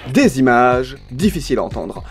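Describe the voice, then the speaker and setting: A man playing a serious parody news presenter in an audio comedy, reading formally and deadpan. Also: mid-range voice, normal speed, soft background music, natural voice. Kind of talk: scripted parody newsreading.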